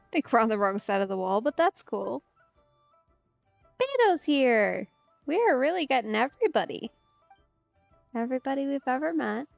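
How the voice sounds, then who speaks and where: A woman's voice: high voice, sing-song, measured pace, creaky, long pauses, no room sound. A woman streamer giving casual game commentary in an understated, wry way. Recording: slightly dull mic, music behind. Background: game music.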